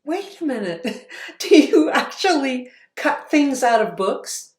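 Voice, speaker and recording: Woman author, children's read-aloud, unhurried, roomy sound. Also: high-pitched. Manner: slow, expressive storytelling. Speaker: author reading her book aloud. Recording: roomy echo.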